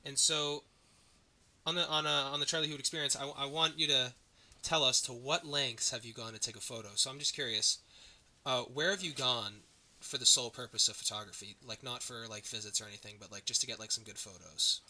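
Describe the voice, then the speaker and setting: A man's voice: medium pitch, steady tone, a little fast, pushed and bright. A young man, still a student, hosting a casual podcast interview and asking the questions. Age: teens or young adult, a student.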